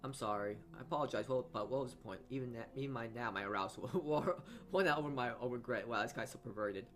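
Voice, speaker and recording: A man reading a visual novel aloud as a let's-player, stumbling over lines and adding his own asides. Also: medium pitch. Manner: halting reading with frequent stumbles. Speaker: video game let's-player.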